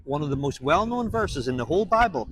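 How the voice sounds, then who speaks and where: A man talking with strangers outdoors, earnest and insistent as he asks what they believe. His voice sits a little high for a man. It is recorded on a handheld camera on a street. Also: very animated, quick pace, a bit bright, low-quality recording. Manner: earnest, pressing with questions. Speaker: street evangelist. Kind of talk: street conversation, preaching.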